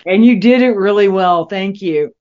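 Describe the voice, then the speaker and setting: A woman hosting an interview in a conversational style, with halting, deliberate phrasing. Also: medium pitch, darkish tone. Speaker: interviewer, host. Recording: dull lo-fi audio.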